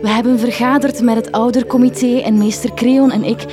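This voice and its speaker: Comic radio drama: sugary, strained Flemish village teacher played by a woman, highish pitch, music bed. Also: fairly even intonation, fairly quick, natural voice. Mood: sugary, apologetic.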